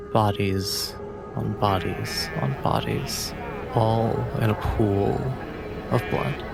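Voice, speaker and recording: A man giving halting, dramatic horror narration as a player in a tabletop game. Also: fairly low pitch, gravelly.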